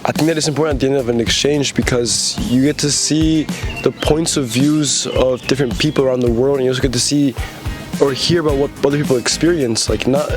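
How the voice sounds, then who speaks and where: A man's voice: mid-range voice, fairly quick. A young, extroverted man from Mexico and the US giving a testimonial as an exchange student. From born in Mexico, lives in US. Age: young adult. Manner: extroverted, talkative. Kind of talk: interview testimonial. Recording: music playing behind the voice. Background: music.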